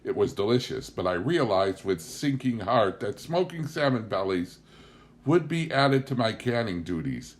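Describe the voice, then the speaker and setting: A man with a fairly low voice narrates a book aloud. He delivers literary first-person memoir prose in the role of an audiobook reader. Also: very animated, moderate pace.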